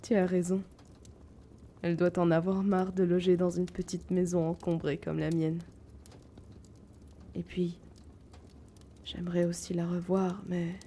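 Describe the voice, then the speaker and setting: A gentle, wounded young man who sells potions, acted by a woman, in an intimate fantasy audio drama. The voice is soft and dark, slightly low for a woman, unhurried and hesitant, with breathy confiding turns. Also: flat delivery, slight background noise. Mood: warm, relieved.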